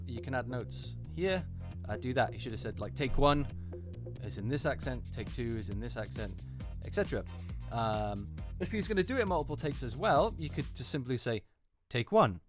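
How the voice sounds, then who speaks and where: A man giving unscripted casual commentary as host, hesitant and often repeating himself for emphasis. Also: on the high side. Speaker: host, voice actor reviewing auditions.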